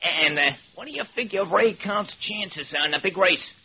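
A man's voice: high voice, animated, normal speed, creaky, sharp tone, full character voice. An actor playing a brash, jittery 1920s gangster with slangy tough-guy talk in an old-time radio drama. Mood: crafty, hesitant. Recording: noisy old radio recording.

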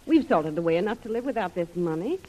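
A woman's voice: on the low side, expressive, fast, mellow, poor audio. An actress playing a plucky, frank woman companion to an adventurer in old-time radio drama. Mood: frank, concerned.

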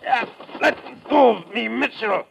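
An actor playing a menacing, impatient gunman in an old radio drama, sneering with a gravelly voice. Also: extremely high pitch, measured pace, heavily acted. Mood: strained. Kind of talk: 1950s American radio adventure acting. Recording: muffled, noisy old radio broadcast.

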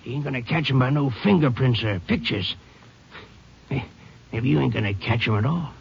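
A man's voice: mid-range voice, moderate pace. An actor playing a sour, folksy night watchman with a rural American accent and a stammering way of talking, in a 1950s radio western. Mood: grumbling, sardonic. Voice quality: raspy, creaky. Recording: dull old radio recording.

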